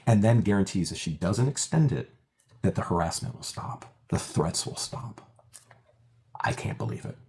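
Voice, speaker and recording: A very deep-voiced man in his forties talks on a livestream, retelling a court hearing. He speaks emphatically and sounds incredulous. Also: expressive, moderate pace, darkish tone. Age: 40s. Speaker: streamer recounting a court hearing. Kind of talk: casual livestream talk.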